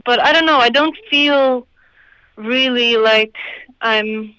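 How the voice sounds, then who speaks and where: A woman's voice: fairly high pitch, takes it slowly, sharp tone, clean tone, no room sound, poor audio. A woman from Croatia, a singer-songwriter answering interview questions in a hesitant, thoughtful way with small laughs. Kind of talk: radio interview guest.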